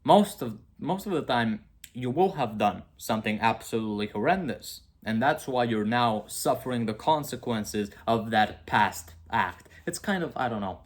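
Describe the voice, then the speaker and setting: A man's voice: mid-range voice, lively intonation, normal speed. A young man with a Romanian accent, talking casually to camera about philosophy, rambling and self-mocking with frequent asides. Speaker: video creator.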